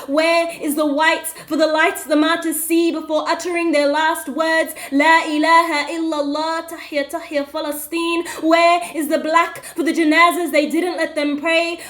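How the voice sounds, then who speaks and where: A woman with a strikingly high voice recites spoken word poetry. Her delivery is impassioned and deliberate, pressing each line as a dramatic accusation. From Western Australia.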